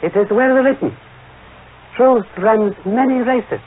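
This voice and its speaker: An actor playing a shrewd, courtly Chinese detective inspector, formal and aphoristic, with clipped grammar, in old radio mystery style. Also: male voice, sits high, slow pace, slightly rough, heavily acted. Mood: calm, triumphant. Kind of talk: 1940s radio mystery acting. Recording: tinny, noisy old radio recording.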